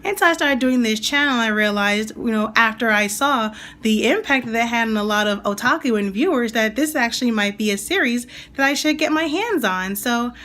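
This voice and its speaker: A young woman with a slightly raspy voice, still getting over a cold, chatting enthusiastically and rambling as she reviews an anime. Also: on the high side, lively intonation, brisk, few pauses, fairly bright tone. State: recovering from a cold. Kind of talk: casual video review.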